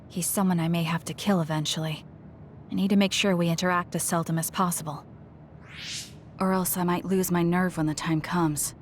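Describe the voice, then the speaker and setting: A woman's voice: fairly low pitch, slight background noise, close to an everyday voice. An actress playing a cool, guarded, logical young woman soldier in a video game, speaking in a reserved, blunt, matter-of-fact way. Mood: guarded, brooding. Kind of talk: English video game voice acting.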